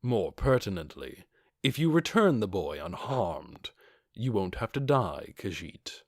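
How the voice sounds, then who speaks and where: A man voicing a calm, coldly confident armored adventurer with a measured, quietly mocking way of talking, for a fantasy audiobook. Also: lowish voice, dry recording, clean studio sound, exaggerated voice. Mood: cool, confident.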